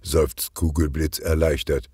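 Male audiobook narrator for kids' crime stories: very husky, slow-paced. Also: very deep voice, level tone, darkish tone, no room sound, studio quality. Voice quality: husky, raspy. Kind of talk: scripted children's detective story narration.

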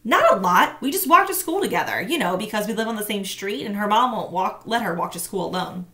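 A woman reading aloud, voicing a nervous schoolboy who dodges questions, in a raised, higher voice. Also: animated, brisk, fairly bright tone, reverberant, natural voice. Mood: defensive. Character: nervous schoolboy, defensive and evasive.

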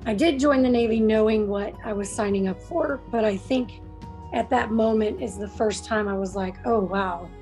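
A woman's voice: mid-range voice, moderate pace, darkish tone, faint music behind, low-quality recording. Earnest, reflective woman veteran. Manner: earnest, reflective, with frequent "um" and "you know". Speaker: Navy veteran. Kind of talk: recorded testimonial. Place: room at home.

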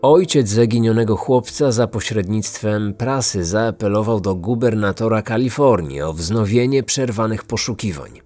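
A man narrating a scripted true-crime podcast in a serious, measured storytelling manner. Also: lowish voice, mellow, faint music behind.

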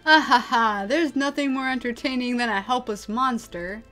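A woman's voice: fairly high pitch, normal speed, bright, forceful tone, faint music behind. A woman voicing a mad, gloating male villain in a mocking, melodramatic, anime-style performance. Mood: mocking glee. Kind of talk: anime-style dramatic character acting.

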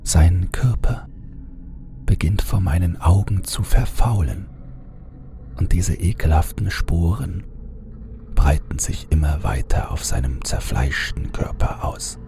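Very deep, slow male horror narrator. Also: music in the background. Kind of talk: scripted audiobook narration of a horror story.

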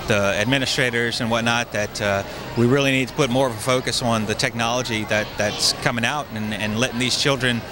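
A man's voice: mid-range voice, fairly even intonation, fairly quick, few pauses, noisy background. A man giving a rambling interview with many fillers. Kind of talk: on-camera interview.

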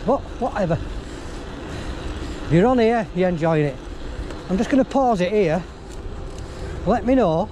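A man with a fairly high voice talks casually to viewers while riding a bike. He rambles and thinks aloud, reading signs as he goes. The outdoor camera audio carries clear wind and traffic noise. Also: mellow, low-quality recording. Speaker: vlogger on an electric bike ride. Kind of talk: casual running commentary while cycling. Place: outdoors on roads, riding a bicycle.

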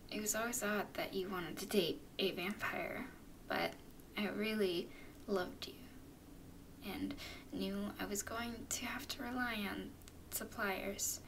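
A woman playing a tender, doting vampire girlfriend in a soothing ASMR roleplay, mostly in breathy whispers. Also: mid-range voice, far from the mic, noisy background, lightly acted. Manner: soothing, affectionate, unhurried. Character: tender, doting female vampire girlfriend comforting her nervous partner.

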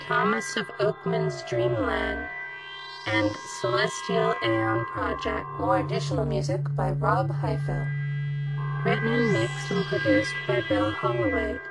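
A woman voicing a female computer that reads out credits in a formal, measured, stilted way. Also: mid-range voice, wildly swooping pitch, vocal fry, exaggerated voice, rough recording. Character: female ship computer reading end credits. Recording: loud music under the voice.

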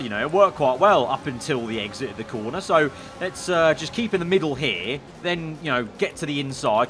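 Excitable young man, lively pitch. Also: a little hiss or hum. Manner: excitable, bursts into shouts at crashes. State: slightly hoarse. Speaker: gaming YouTuber. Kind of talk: racing game commentary.

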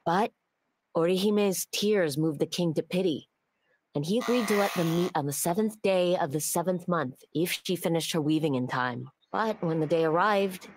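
An eager young lover in a video game. The voice sits low for a woman. The delivery is that of someone smitten and devoted. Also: slight background noise.

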